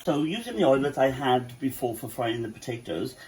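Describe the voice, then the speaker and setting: A man's voice: medium pitch, big pitch swings, a little fast, a little mellow, a little hiss or hum, low-quality recording. A man with a Welsh accent who runs a cafe, talking viewers through a cooking tutorial at his home kitchen in a chatty way, with joking asides. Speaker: cafe cook.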